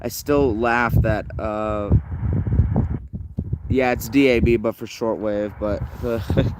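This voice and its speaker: A man hosting a hobby live stream, chatting casually and haltingly as he thinks aloud like a keen enthusiast. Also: fairly low pitch, steady tone, very noisy. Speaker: host of a shortwave radio listening stream.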